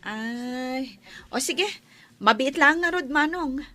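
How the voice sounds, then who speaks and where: A woman's voice: high-pitched, lively intonation, slow, sharp tone, exaggerated voice. A woman with an Ilocano accent playing a polite young village store girl in an audio drama. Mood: polite, unhurried. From Philippines.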